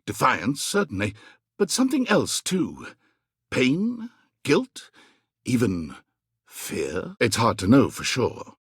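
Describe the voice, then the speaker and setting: A man playing a wry, theatrical video game narrator, husky and measured, with a dryly mocking edge. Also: medium pitch, wildly swooping pitch, no room sound, clean studio sound, full character voice. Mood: wry, dramatic.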